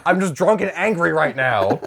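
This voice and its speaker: A man's voice: on the high side, animated, normal speed, gravelly, a bit bright, breathy edge. A young man co-hosting a podcast, telling stories in casual comedic chat with lots of swearing and exasperation. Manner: profane, exasperated storytelling. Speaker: podcast co-host.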